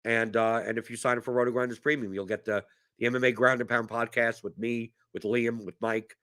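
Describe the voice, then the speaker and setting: A man with a bright, forceful voice hosts a casual fantasy sports livestream and answers questions from chat. His voice is going hoarse from a cough. He rambles and repeats words as he restarts his sentences. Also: medium pitch, little pitch movement, brisk. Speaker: fantasy sports strategy show host.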